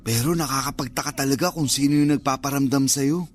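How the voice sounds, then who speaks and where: An actor playing a nervous, well-meaning young cousin in a radio drama, colloquial and concerned. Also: male voice, mid-range voice, fast, lightly acted.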